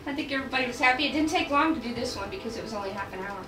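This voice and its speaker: Woman, high voice, casual host chatting with a group, echoey room recording. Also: racing. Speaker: host of a script table read. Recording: roomy, noisy room, distant mic.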